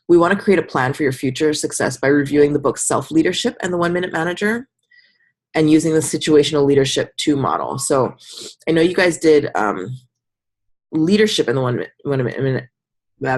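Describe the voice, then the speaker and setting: A woman's voice: extremely low pitch, husky. A woman mentoring a business group on a call, talking informally and encouragingly and often acting out little example remarks. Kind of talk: informal coaching talk on a group review call.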